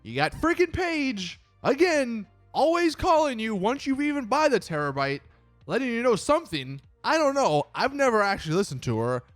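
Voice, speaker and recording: A man's voice: very high voice, sing-song, moderate pace, no room sound. A young adult man giving casual, exasperated and emphatic commentary over gameplay as a gaming video creator. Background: background music.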